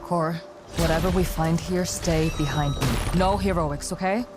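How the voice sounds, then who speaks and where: Actress doing tough big sister warrior girl in a game, lowish voice, curt n bossy, music behind. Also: steady tone, moderate pace, close to an everyday voice. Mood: firm, protective. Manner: curt, exasperated, commanding. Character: guarded, protective young woman warrior, bossy older sister. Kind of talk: video game cutscene acting.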